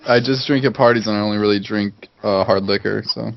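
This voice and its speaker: A man's voice: fairly low pitch, steady tone, quick pace, vocal fry, muffled recording. A man in his late twenties with an upstate New York accent, a podcast guest giving dry, understated answers. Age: 20s. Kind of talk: casual interview.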